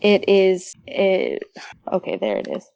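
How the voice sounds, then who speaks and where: A woman's voice: mid-range voice, huge pitch swings, takes it slowly, vocal fry, darkish tone, dry recording, muffled recording. A woman chatting casually and enthusiastically about literature as a podcast guest.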